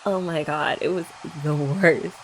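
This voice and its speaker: Young woman, ASMR girlfriend roleplay, sweet and soft-spoken, slightly low pitch. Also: fairly even intonation, measured pace, a little hiss or hum. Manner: soft, chatty and sweet, often laughing softly.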